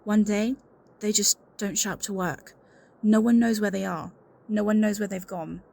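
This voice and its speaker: A woman's voice: medium pitch, steady tone, moderate pace, vocal fry, darkish tone. A woman playing an anxious, suspicious secretary in an audio roleplay, speaking in an earnest, confiding and insistent way. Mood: wary, confiding. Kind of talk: scripted audio roleplay acting, one-sided conversation.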